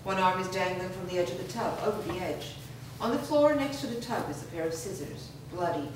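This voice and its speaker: Lower-pitched woman narrating, very echoey. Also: fairly quick. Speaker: narrator reading stage directions. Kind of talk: scripted narration at a staged screenplay reading. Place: live staged reading in a reverberant room. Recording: distant, very echoey room, background noise.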